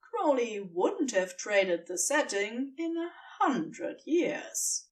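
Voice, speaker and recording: A woman narrates a story in a fairly high, expressive voice with lively swings of pitch. She takes her time over the scripted prose and sounds a little way from the mic. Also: slow pace, distant mic. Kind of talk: scripted fan fiction narration.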